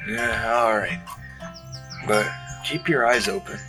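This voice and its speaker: A man's voice: medium pitch, takes it slowly, over music, natural voice, rough recording. A man playing an affectionate, easily spooked boyfriend with a teasing, casual way of talking in an audio drama. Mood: uneasy.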